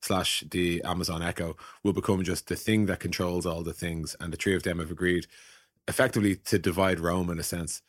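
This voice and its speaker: A man's voice: deep voice, steady tone, a little fast, no room sound, clean studio sound. A man in a casual tech discussion, hosting and telling points through imagined quotes. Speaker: podcast host and tech executive.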